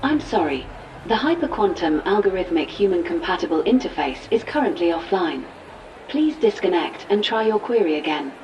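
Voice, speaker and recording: A woman's voice: on the low side, animated, normal speed, a little mellow, recorded from a distance, dull audio, a little hiss or hum, close to an everyday voice. A woman playing a polite but unhelpful automated AI assistant in a comedic audio drama, speaking formally. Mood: blandly polite.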